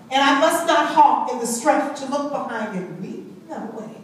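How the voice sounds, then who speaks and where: A woman performing a dramatic monologue as a spirited, proud young Southern woman, with a deliberate, emphatic and expressive delivery. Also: high-pitched. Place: live reading in an echoing indoor venue. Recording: echoey room, distant mic, faint noise.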